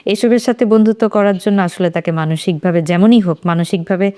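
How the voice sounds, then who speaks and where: A woman giving thoughtful opinions in a literary discussion, explaining in short phrases with pauses. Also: medium pitch, fairly even intonation, warm tone. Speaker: panelist.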